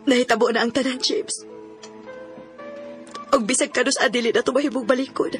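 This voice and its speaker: An actress with a Filipino Cebuano accent playing a hurt but forgiving young former sweetheart in a Bisaya radio drama. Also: female voice, fairly high pitch, fairly even intonation, fairly quick, close to an everyday voice. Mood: hurt, sorrowful. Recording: radio drama with music underneath.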